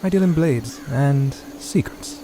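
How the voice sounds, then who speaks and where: A man playing a shadowy, wry rogue in a fantasy audio drama, speaking in a measured, cryptic way. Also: mid-range voice, lively intonation, mellow, slight background noise, low-quality recording. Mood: mysterious. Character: shadowy male rogue, mysterious, wry dealer in blades. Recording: music bed under voice.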